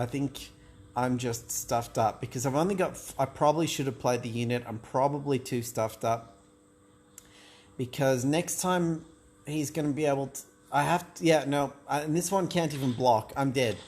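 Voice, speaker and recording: A man's voice: medium pitch, a little mellow, a little hiss or hum. A man commentating his own card game match, thinking aloud in a hesitant, self-doubting way, with many restarts and fillers. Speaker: gameplay commentator.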